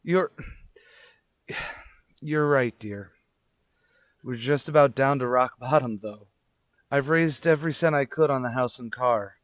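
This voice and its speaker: A man acting in an old-time radio drama as a desperate, earnest father looking for work, speaking in a halting, pleading way. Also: medium pitch, no echo, close to an everyday voice. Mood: weary, resolved. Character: desperate, earnest, down-on-his-luck young father seeking work.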